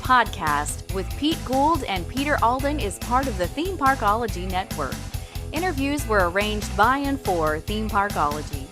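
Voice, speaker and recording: A woman announcer reads scripted credits over clear background music. Her voice is fairly high and animated, with a lively rise and fall. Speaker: podcast announcer.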